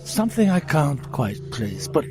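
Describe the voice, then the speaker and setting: An actor playing a sinister, centuries-old piper, slow and theatrical as he muses in an audio drama. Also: on the high side, big pitch swings, a little mellow. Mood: sinister musing. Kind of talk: dramatic audio fiction acting. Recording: voice under prominent music.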